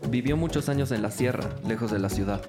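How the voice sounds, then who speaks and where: A man's voice: medium pitch, fast, close to an everyday voice. An actor playing a gossipy male school administrator, eager to please, formal and insinuating, in an audio drama with music behind. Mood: obliging, gossipy. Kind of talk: scripted audio drama dialogue.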